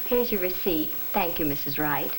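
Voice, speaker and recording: A woman acts as a gracious, sympathetic office teller in a 1940s training film. Her voice sits somewhat low for a woman, polite and personal. The old soundtrack is clearly noisy. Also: lively intonation, normal speed, lightly acted. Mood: courteous, sympathetic. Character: courteous, sympathetic female telephone company teller. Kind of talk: 1940s instructional film acting.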